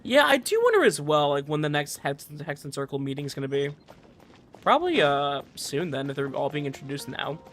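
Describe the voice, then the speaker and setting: A man's voice: a little high, big pitch swings, fairly quick, a bit bright. Chatty male game streamer, casual. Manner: chatty, repeats words, trailing off. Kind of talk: casual livestream commentary.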